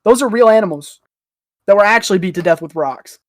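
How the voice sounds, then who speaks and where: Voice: mid-range voice, animated, a little fast, no room sound, clean studio sound. A person chatting casually on a group podcast, telling things in a slangy, joking way. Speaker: podcast panelist.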